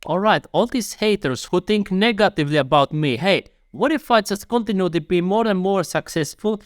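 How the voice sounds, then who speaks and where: A man's voice: high voice, no room sound, studio quality. A man with a Finnish accent, a YouTube coach giving earnest step-by-step advice straight to the viewer, calling them "my friend". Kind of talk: advice video to camera.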